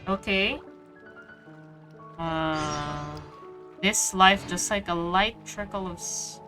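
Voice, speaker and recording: A woman's voice: on the low side, drawn-out words, over music. A woman streaming a game, giving informal, conversational reactions as she plays. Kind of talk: casual live reaction commentary.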